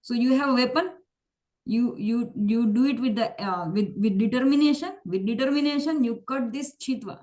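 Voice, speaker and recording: A woman's voice: fairly high pitch, normal speed, mellow. A woman teaching a verse class, repeating and restarting, mixing English and Hindi. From India. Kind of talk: online class explanation.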